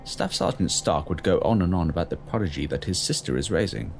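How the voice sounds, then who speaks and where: A man playing a grave, kindly fleet captain in an audio drama, speaking formally and in a measured, solemn way. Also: low-pitched, expressive, a little mellow, a little hiss or hum. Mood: formal, cordial.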